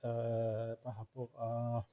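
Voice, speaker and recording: A man with an Arabic accent and an Arabic dialect as his first language reflects informally, haltingly searching for words and repeating himself. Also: medium pitch, completely flat delivery, lo-fi sound, slight background noise. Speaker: language researcher and writer.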